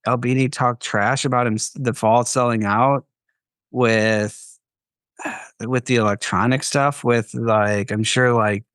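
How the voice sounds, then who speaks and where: A man's voice: medium pitch, steady tone, slow pace, a bit bright, clean tone, no room sound. A man co-hosting a podcast in casual chat about a record, musing aloud and trailing off with fillers. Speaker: podcast co-host.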